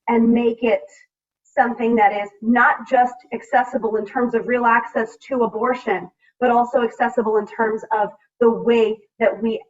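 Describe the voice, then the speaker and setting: An American woman legislator speaking earnestly and emphatically on a panel over a video call. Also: on the high side. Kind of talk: public panel remarks, speaking from personal conviction. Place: remote video meeting. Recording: distant, muffled video call audio.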